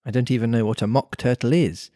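A man reads as a curious young girl from a storybook. She is polite and prim, with a slightly sharp edge. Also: mid-range voice, fairly even intonation, quick pace, dry recording, clean studio sound, close to an everyday voice. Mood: polite, doubtful.

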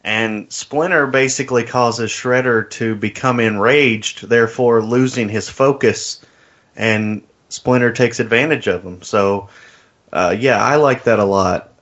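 Male co-host, medium pitch, conversational film talk, recorded a bit muffled and lo-fi. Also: unhurried, a bit bright. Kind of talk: casual film discussion.

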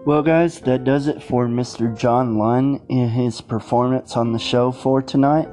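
A man's voice: mid-range voice, fairly even intonation, measured pace, warm tone, faint music behind. A man hosting a podcast, addressing listeners casually in a scripted outro. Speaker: podcast host.

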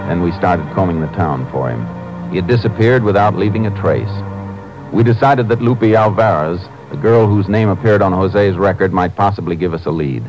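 Texas Ranger lead, played by an actor: very deep, terse, businesslike, 1950s radio drama delivery. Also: male voice, moderate pace, close to an everyday voice, poor audio. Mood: matter-of-fact narration. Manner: terse, matter-of-fact. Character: calm, businesslike Texas Ranger investigator and narrator. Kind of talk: 1950s radio acting, narration and dialogue. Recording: old radio drama with music.

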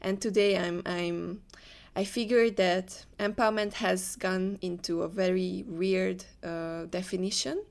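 Creaky-voiced woman with Hungarian accent. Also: on the low side, fairly even intonation, a little slow. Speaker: interview guest, leadership coach. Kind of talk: conversational interview answers.

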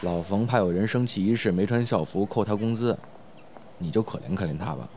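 A young man acting a breezy, teasing high school student in a modern audio drama, talking casually and playfully. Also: fairly quick, warm tone, a little hiss or hum, natural voice.

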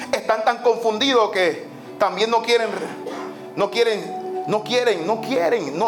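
A high-pitched man preaching, pressing his points by repeating phrases. He slips English "so" into his sentences. Soft music plays faintly behind him in the church. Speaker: pastor. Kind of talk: sermon. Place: church sanctuary.

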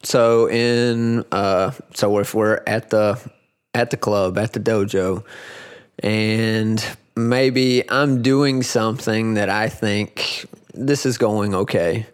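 Rambling, halting man in his forties. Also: on the low side, fairly even intonation, smooth. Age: 40s. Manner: rambling, halting, frequent restarts. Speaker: podcast guest, grappling competitor. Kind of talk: casual chat.

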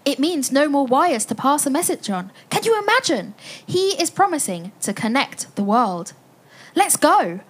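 A woman's voice: high-pitched, very animated, moderate pace. A woman playing an enthusiastic storyteller in a family audio play, inviting listeners into an adventure with a sense of wonder. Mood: excited wonder.

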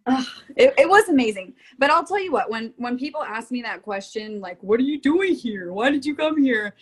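A bubbly young woman from Florida chats as a podcast guest. She talks freely and laughs often, with a lively, easygoing way of speaking. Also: a little high, normal speed, husky. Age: young adult. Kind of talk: casual podcast interview.

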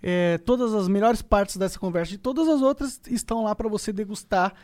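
A man from Brazil with a high voice hosts a podcast in a loose, casual way, reading sponsor spots between chat. He laughs easily and keeps things relaxed. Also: fairly quick. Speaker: podcast host.

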